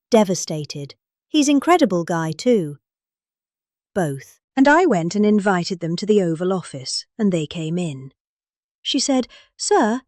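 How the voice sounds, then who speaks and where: A woman's voice: on the low side, a little mellow, dry recording, studio quality. A middle-aged woman with a British accent giving a dramatic reading of a rambling political speech, with exaggerated emphasis.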